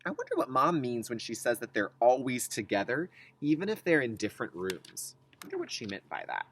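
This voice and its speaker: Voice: mid-range voice, wildly swooping pitch, fairly quick, a bit bright, a little hiss or hum. A kindergarten teacher leading a read-aloud lesson for young children, instructive, with simple wording and repeated key phrases.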